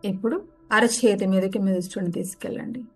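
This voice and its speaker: A woman gently guides a relaxation meditation, giving unhurried step-by-step instructions. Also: medium pitch, slightly rough. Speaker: yoga nidra guide. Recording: music behind the voice. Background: background music.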